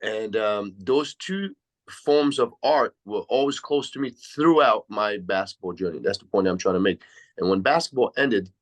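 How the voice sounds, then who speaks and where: Deep-voiced Swiss man telling his story. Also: lively intonation, normal speed. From Switzerland. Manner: reflective, long winding answers. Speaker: podcast guest, DJ. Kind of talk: casual interview storytelling.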